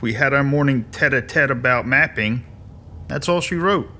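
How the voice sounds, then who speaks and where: An actor playing a gruff, defensive military sergeant in an audio drama, recounting things in a brusque, matter-of-fact way. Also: male voice, medium pitch, moderate pace, sharp tone. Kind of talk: audio drama narration in character.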